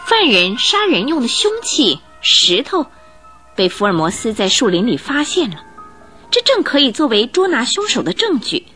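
Female narrator, expressive storytelling, lively melodic range, radio drama, music in the background. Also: on the high side, moderate pace, breathy edge.

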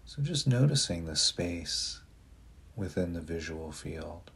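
Male meditation guide: deep and soft, very unhurried, gentle delivery with long pauses. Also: lively intonation, creaky, husky.

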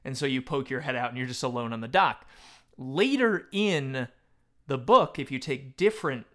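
A man speaking as a podcast panelist in a casual book discussion, retelling things in an animated way. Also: medium pitch, normal speed.

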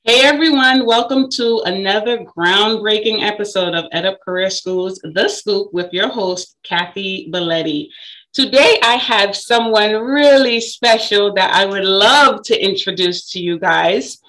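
A woman hosts a podcast interview with a bright, forward voice. She talks in an effusive, admiring way and laughs readily as she introduces her guest. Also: mid-range voice, measured pace, poor audio. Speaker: podcast host. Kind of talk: upbeat interview hosting.

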